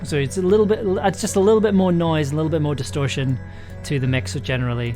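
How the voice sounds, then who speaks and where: A man with a raspy, throaty voice, talking casually and rambling through a livestream while producing an audio drama. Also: a little high, fairly even intonation, fast talker. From United Kingdom. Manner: rambling, many restarts and fillers. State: raspy, throaty voice after illness. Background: music.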